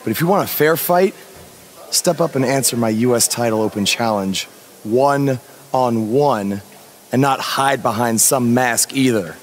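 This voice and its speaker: A man's voice: mid-range voice, unhurried, lightly acted. A man playing a confident, defiant wrestling champion in a video game cutscene.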